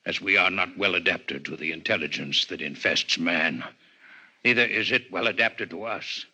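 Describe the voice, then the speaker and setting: An actor playing a grave, coldly intellectual alien physician in a 1950s radio drama, speaking in formal, measured lectures with a husky voice. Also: male voice, low-pitched, sharp tone, full character voice. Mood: grave, insistent. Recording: 1950s radio broadcast recording.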